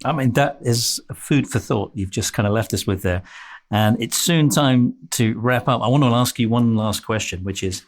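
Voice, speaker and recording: A man's voice: medium pitch, moderate pace. A middle-aged or older man hosting an interview podcast, chatting with a guest and delivering sign-offs. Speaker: podcast host.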